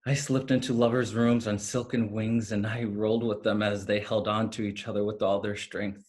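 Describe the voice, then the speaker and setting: An actor playing a tender, wistful fallen fairy of healing in a play reading, speaking lyrically over a video call. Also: male voice, on the low side, level tone, darkish tone, close to an everyday voice. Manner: slow, lyrical, deliberate delivery. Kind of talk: staged play reading, dramatic monologue. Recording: remote video-call recording.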